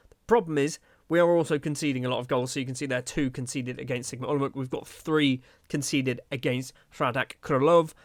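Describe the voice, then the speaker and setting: A man's voice: fairly high pitch, dry recording, clean studio sound. A man giving casual video game commentary as a host, chatty and rambling, often questioning himself as he goes.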